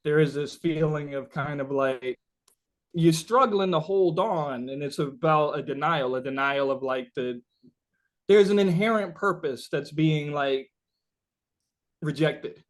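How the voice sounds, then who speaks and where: A man being interviewed as a musician, chatting casually and feeling his way through rambling, halting explanations. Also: fairly high pitch.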